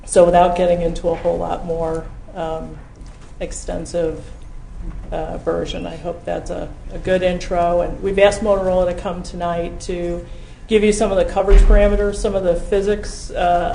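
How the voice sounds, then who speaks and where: An American woman giving an informal briefing at a public meeting in a halting, measured way. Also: lowish voice, little pitch movement, gravelly, warm tone. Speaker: county official introducing a presentation. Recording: echoey room with background noise.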